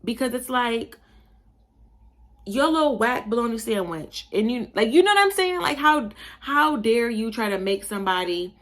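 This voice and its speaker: A young adult woman from Buffalo, New York, with a slightly Southern-tinged accent, hosting a casual opinion monologue in an animated, emphatic, chatty way and calling her listeners y'all. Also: on the high side, moderate pace. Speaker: podcast host.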